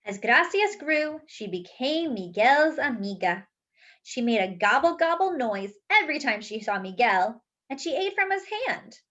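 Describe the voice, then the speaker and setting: A woman reading a children's picture book aloud in an expressive, careful, teacherly way. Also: sits high, a little slow, dull audio.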